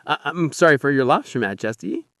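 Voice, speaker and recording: A man's voice: fairly high pitch, lively intonation, a little fast, a bit bright, dry recording, clean studio sound. A man voicing a humble, earnest, anxious peasant who speaks hesitantly and deferentially, with stammers, in an audiobook story. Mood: earnest, nervous.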